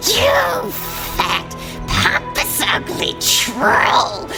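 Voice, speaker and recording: A woman's voice: very high-pitched, wildly swooping pitch, extremely slow, vocal fry, exaggerated voice. A woman voicing a small, barbaric, taunting male kobold in a fantasy audio drama. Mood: indignant. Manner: mocking, spluttering, cackling delivery. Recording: music bed under the voice.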